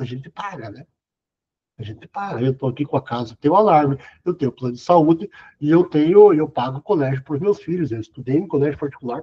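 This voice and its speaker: A middle-aged man lectures in a loose, chatty way, peppering his points with casual tags. His voice is husky and sits a bit high. The video call audio sounds dull and lo-fi. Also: mellow. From southern Brazil. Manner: conversational, sprinkles "cara" and "né". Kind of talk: casual lecture over web conference.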